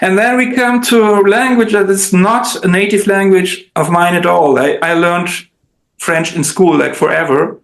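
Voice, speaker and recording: A German-native man speaks in a casual interview, his voice sitting a little high. He often stumbles, repeats words and restarts his sentences. The sound is roomy, with an echo. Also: fairly even intonation, moderate pace, creaky. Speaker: translator, interview guest. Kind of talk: casual conversation.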